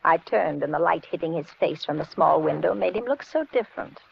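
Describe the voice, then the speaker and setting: An actress playing a fussy, kindly, stubborn older landlady in a chatty, expressive 1940s radio style. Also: low voice, a little fast, creaky, husky, heavily acted. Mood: reminiscing. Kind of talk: 1940s radio acting, first-person narration. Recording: noisy, muffled 1940s radio recording.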